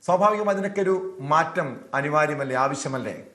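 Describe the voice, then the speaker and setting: A man's voice: fairly high pitch, moderate pace, pushed and bright. A man with a Malayali accent giving his view as a panelist in a television debate. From Kerala, India. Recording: roomy, echoing sound.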